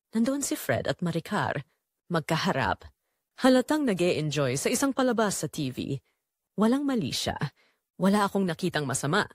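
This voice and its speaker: A woman's voice: on the low side, dry recording, clean studio sound. A woman with a Filipino accent reading a scripted first-person dramatic story as narrator.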